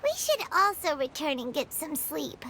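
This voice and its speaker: Very high-pitched little fairy sidekick. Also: female voice, big pitch swings, moderate pace, sharp tone, slight background noise, heavily acted. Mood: pensive. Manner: refers to herself in the third person. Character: tiny excitable childlike fairy companion, chatty and whiny. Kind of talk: animated video game voice acting.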